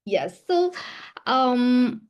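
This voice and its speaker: A woman's voice: fairly high pitch, big pitch swings, drawn-out words, dull audio. A woman moderating a book discussion and putting questions to guests. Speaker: panel moderator.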